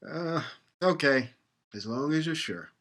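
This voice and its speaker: A man playing a kindly, easygoing father in an audio drama, patient and gently questioning. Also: a little high, expressive, measured pace. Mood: indulgent.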